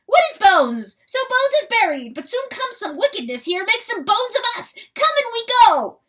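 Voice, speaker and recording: A woman voicing a small, loyal male weasel who speaks in broken, folksy grammar, calling out high and loud. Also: sing-song, moderate pace, heavily acted. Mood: frantic, excited. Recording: voice heard from a distance.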